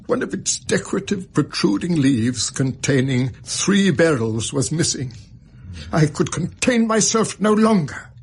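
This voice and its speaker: Radio drama: middle-aged English Victorian banker, upright and tormented, creaky voice, formal diction, slight background noise. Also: male voice, fairly high pitch, lively intonation, a little slow. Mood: distressed, earnest. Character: anguished, respectable Victorian banker and father. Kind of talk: radio drama acting, narrating a confession.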